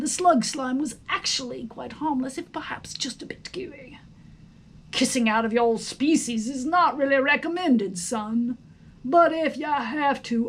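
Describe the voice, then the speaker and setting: A woman reading a storybook aloud in an expressive, theatrical, emphatic narration. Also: high-pitched. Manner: theatrical, emphatic, deliberate phrasing. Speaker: storybook reader. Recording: faint background noise.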